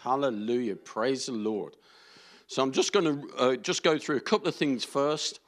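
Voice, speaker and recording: A man leading an informal sermon in an easygoing, conversational way. His voice sits at an ordinary middle pitch, and he keeps slipping in hallelujahs and small jokes between his points. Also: moderate pace. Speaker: pastor.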